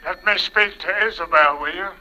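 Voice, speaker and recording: Casting: pushy jilted American playboy, very piercing high voice, curt and menacing, muffled vintage radio drama. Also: male voice, moderate pace, sharp tone. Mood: demanding. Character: threatening, desperate jilted male playboy gambler. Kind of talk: 1940s radio drama acting. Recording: muffled old radio recording.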